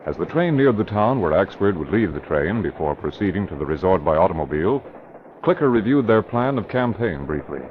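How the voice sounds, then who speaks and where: A man's voice: deep voice, sing-song, fairly quick, background noise. A man narrating an old radio drama in a dramatic, announcer-like manner. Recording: dull lo-fi old radio recording with music.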